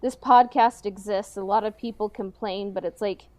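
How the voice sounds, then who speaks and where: A woman's voice: medium pitch, fairly even intonation, moderate pace, a little mellow. A woman hosting a podcast in casual, joking chat, sprinkling her sentences with "like" and "you know". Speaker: podcast host.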